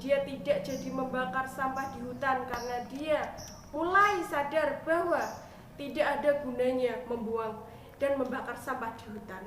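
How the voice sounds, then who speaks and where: A young adult woman reading a fairy tale aloud as a storyteller, in expressive, deliberate scripted narration. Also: high voice. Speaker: storyteller, university student. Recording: echoey room with faint noise.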